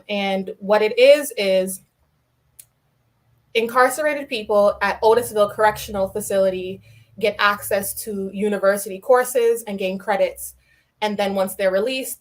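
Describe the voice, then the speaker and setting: Young woman, clear explainer. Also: medium pitch. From New York City. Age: young adult. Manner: explanatory, with light laughs. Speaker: guest. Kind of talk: podcast interview.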